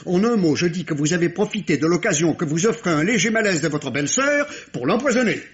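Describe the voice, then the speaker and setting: A man's voice: high-pitched, lively intonation, fast talker. A man acting a shrewd, insistent police inspector in a vintage radio mystery, speaking formally and precisely. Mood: accusing. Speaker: radio drama actor. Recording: dull, lo-fi old radio recording.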